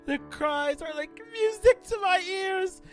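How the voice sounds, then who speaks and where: A man playing a sadistic, gleeful spirit in a fan audio drama, taunting theatrically with drawn-out mockery. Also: very high voice. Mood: gleeful mocking. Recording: music under the voice.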